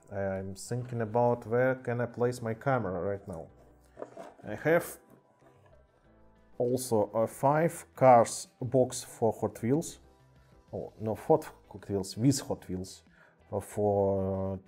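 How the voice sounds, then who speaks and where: A man with a Russian accent casually chatting on a live stream in halting English, with many fillers. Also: fairly low pitch, dark tone. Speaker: streamer showing toy car collection. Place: indoor room with cluttered table. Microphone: webcam mic.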